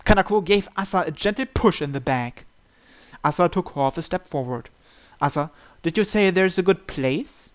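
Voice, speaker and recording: A man's voice: high voice, expressive, moderate pace. A man hosting a let's play, reading story narration aloud and breaking off for casual asides on the text. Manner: stumbles and breaks off to comment on the text.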